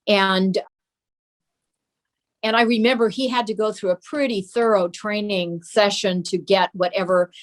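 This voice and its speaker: An older woman speaks as an interview guest, recalling the past. Her voice sits at an ordinary middle pitch, and she explains things through personal anecdotes. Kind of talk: reminiscing in an interview.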